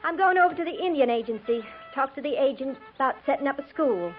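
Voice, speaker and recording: High-voiced, proud frontier wife. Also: female voice, expressive, fast talker. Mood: defiant. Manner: guarded, stubborn, curt. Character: proud, independent young frontier trooper's wife. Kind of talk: 1950s radio drama acting. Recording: tinny, noisy 1950s radio recording.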